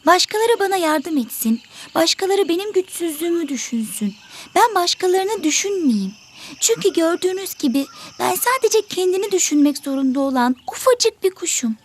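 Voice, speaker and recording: A woman's voice: very high voice, very animated, moderate pace, slight background noise. A woman voicing a small, selfish, stubborn bird pupil in an educational cartoon, whiny and argumentative. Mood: self-pitying.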